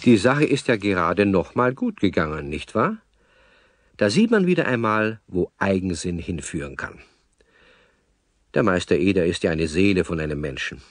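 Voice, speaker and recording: A man's voice: low voice, sing-song, moderate pace. A man playing a chatty storyteller, narrating a children's story and addressing the listener directly. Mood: chatty, knowing.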